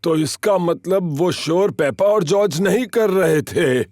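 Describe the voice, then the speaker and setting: A man's voice: high-pitched, slow pace. A man voicing an indulgent old grandfather pig in a children's cartoon, genial and unworried. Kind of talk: children's cartoon dub in Hindi.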